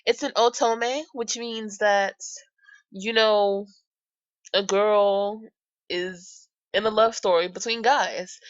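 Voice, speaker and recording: A woman's voice: medium pitch, no room sound, lo-fi sound. A woman hosting a gaming channel, chatting casually and reacting aloud as she plays a visual novel. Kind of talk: casual let's-play commentary and reading aloud of a visual novel. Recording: very noisy home recording.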